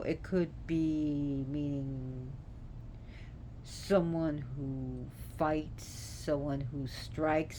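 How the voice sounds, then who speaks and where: A woman giving an informal, unscripted explanation, halting as she searches for words. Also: deep voice, darkish tone, low-quality recording. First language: not Gaelic. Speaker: presenter explaining names of a Celtic deity. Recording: clear background noise.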